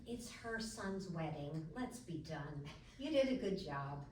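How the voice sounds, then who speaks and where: Woman acting a gentle, low-voiced elderly wife, echoey. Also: animated, slow. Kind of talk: table read of a screenplay. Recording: very echoey, far from mic, faint noise.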